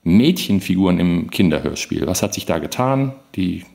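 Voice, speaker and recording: A man's voice: low-pitched, lively intonation, normal speed, gravelly, darkish tone. A man co-hosting a podcast in a casual, chatty style. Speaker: podcast co-host.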